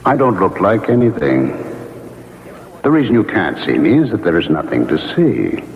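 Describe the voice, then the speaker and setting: An actor playing a courtly, melancholy ghost in an old-time radio mystery, speaking formally, gently and earnestly. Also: male voice, low-pitched, big pitch swings, normal speed. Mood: gentle, admiring. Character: courtly, melancholy invisible male ghost in love. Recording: echoey, narrowband, hissy old radio broadcast.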